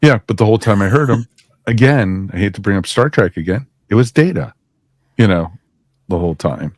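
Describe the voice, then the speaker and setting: Low-pitched man chatting on a podcast. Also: lively intonation, a little fast, dry recording, studio quality. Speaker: podcast panelist. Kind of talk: casual chat reviewing audio dramas.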